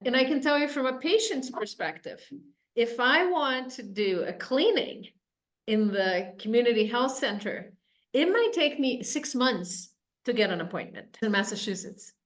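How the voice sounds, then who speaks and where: A woman's voice: on the high side, lively intonation, moderate pace. A woman from Brazil, Portuguese her first language, hosting an interview and affirming her guest often. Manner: encouraging, affirming with frequent mm-hmms. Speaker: interview host. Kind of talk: casual interview.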